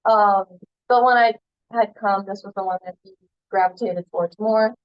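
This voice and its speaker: A woman's voice: medium pitch, fairly even intonation, normal speed, no room sound, muffled recording. A woman student presenting her observation to her class on a video call, casual and with frequent ums.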